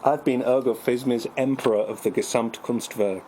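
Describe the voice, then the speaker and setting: A man's voice: mid-range voice, fairly even intonation, normal speed, mellow, a little hiss or hum, low-quality recording. A man co-hosting a casual radio chat, speaking in a wordy, mock-formal way with dry humour. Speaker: radio show co-host.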